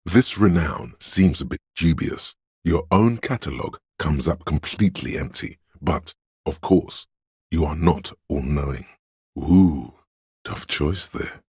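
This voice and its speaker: Very deep, scholarly know-it-all narrator. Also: male voice, a little mellow, no room sound, clean studio sound, exaggerated voice. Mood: dryly condescending. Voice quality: very deep, smooth, resonant. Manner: measured, scholarly, dryly condescending. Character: erudite encyclopedic inner voice, a measured, dryly condescending know-it-all man. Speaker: game narrator. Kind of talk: scripted game narration.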